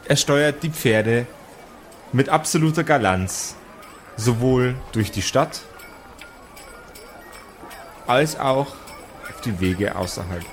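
A man's voice: mid-range voice, expressive, takes it slowly, long pauses, soft background music. A man acting as game master, narrating an improvised tabletop role-playing story.

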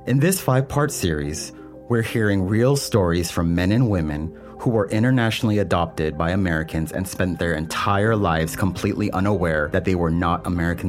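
Man, podcast host, very low voice, scripted narration, earnest, faint music behind.

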